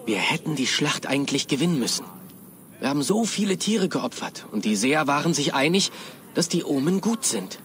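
Animated, perplexed village man. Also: on the high side, fairly quick, slight background noise. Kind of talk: video game background dialogue.

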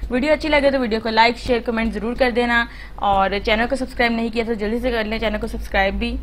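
A woman's voice: on the high side, steady tone, fast talker, a little hiss or hum. A woman hosting a home cooking channel, explaining a recipe step by step in a chatty, instructive voice-over full of fillers.

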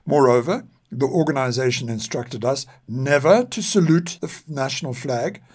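An older man speaks with a South African accent and a husky, slightly rough voice. His pitch sits in the middle for a man. He delivers scripted narration formally and with measured care. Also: a little mellow. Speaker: podcast narrator.

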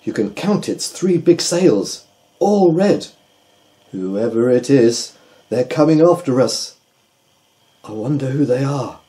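A man voicing a sensible, bossy female duck in a storybook, brisk and matter-of-fact. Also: medium pitch, lively intonation, warm tone.

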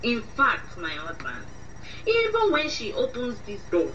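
An actress playing a disapproving auntie in a radio drama, emphatic, with a Nigerian accent. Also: female voice, on the high side, wildly swooping pitch, unhurried, bright, forceful tone, full character voice. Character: disapproving auntie, an uncle's wife. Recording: muffled distant mic with faint noise.

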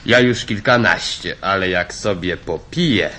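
A man's voice: deep voice, a little slow, pushed and bright, a little hiss or hum. An actor playing a jovial, probing male company director in a radio drama, breezy and teasing as he draws out his remarks.

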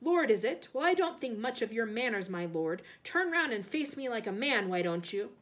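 A woman's voice: a little high, sing-song, normal speed. Woman voicing a blunt, defiant old man. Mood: roughly indignant. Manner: blunt, rough, loud and indignant. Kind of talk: storybook read-aloud.

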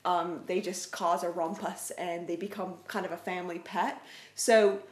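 A woman's voice: fairly low pitch, steady tone, moderate pace. A middle-aged woman chatting casually about children's books as a co-host. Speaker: co-host, teacher and parent. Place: living room couch, indoors. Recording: roomy indoor echo.

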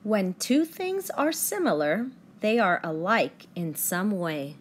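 A young woman teacher reading aloud to children with deliberate, expressive enunciation. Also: medium pitch, slight background noise.

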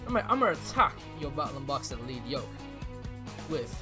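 A man in improvised gaming roleplay chat, speaking haltingly and repeating words as he goes. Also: medium pitch, big pitch swings. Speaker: roleplay player. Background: music.

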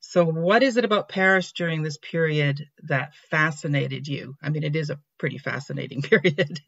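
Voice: medium pitch, vocal fry, a bit bright. Enthusiastic podcast host on a dull line. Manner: enthusiastic, affirming, quick to praise. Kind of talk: podcast interview questions.

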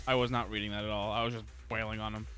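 A man's voice: lowish voice, flat delivery, rapid-fire, fairly bright tone. A man giving casual commentary as he plays a game, sometimes reading tutorial text aloud. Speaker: gaming streamer. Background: video game music.

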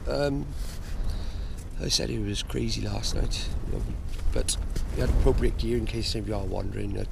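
A man's voice: fairly low pitch, normal speed, vocal fry. A man, chatting casually and rambling to an action camera inside a small tent. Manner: rambling, chatty, many fillers and restarts. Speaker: outdoor gear vlogger. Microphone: action camera held close. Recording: loud wind noise on a tent.

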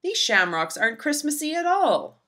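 Lady reading as a fussy jolly old father christmas type in a picture book, exclaiming, bright, swoopy pitch. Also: sing-song, normal speed, exaggerated voice. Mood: exasperated. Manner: exclamatory, theatrical.

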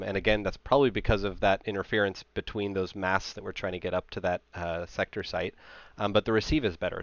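Low-voiced man presenting, dull dry audio. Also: dry recording. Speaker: conference presenter. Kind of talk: informal technical slide presentation. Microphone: headset.

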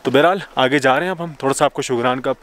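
A young man vlogging casually outdoors on a snowy mountain road, chatty and excitable, talking to a camera held at arm's length. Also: mid-range voice, very fast. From Pakistan. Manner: chatty, excitable, laughs often. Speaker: travel vlogger. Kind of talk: casual travel vlog. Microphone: handheld camera at arm's length. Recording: outdoor noise, wind and vehicles.

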